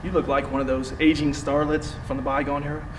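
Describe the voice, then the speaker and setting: A man's voice: fairly high pitch, level tone, fast, close to an everyday voice. Actor as a cocky young ad man, distant recording. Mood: boastful. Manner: smug, self-assured. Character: cocky, ambitious young male advertising man. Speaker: stage actor. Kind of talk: live stage play acting. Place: theatre stage, recorded from far off. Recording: distant roomy theatre recording, faint noise.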